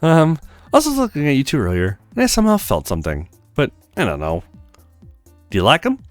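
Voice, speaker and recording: A man's voice: a little high, wildly swooping pitch, fairly quick, no room sound, faint music behind. A man voicing a shy, kindly young woman who is tentative and polite, in a visual novel. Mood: tentative, curious. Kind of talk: acted visual novel read-aloud.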